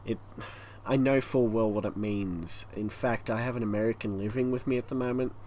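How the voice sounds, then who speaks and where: A man with an Australian accent and a croaky, hoarse voice, rambling through a casual channel update at home, stopping often for breath. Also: lowish voice, fairly even intonation, brisk, darkish tone. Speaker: YouTube gaming channel host. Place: hot home room with a fan running. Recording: faint fan noise behind.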